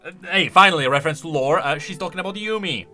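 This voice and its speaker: A man giving casual reaction commentary as a video host, enthusiastic and halting as he thinks aloud. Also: fairly high pitch, bright, forceful tone, faint music behind.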